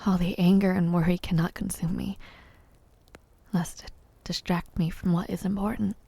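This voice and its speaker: A woman playing a wistful, gently teasing royal mother in an intimate audio roleplay, soft-spoken and measured, often dropping toward a whisper. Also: lowish voice, level tone, vocal fry, breathy edge, no echo. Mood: wistful, resigned.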